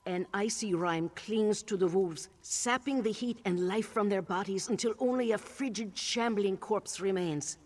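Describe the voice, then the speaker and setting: A woman voicing a cryptic old witch in a video game, wry and measured in an archaic way of speaking. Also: mid-range voice, darkish tone, natural voice. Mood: ominous warning. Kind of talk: scripted video game dialogue. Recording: long reverberant tail on the voice.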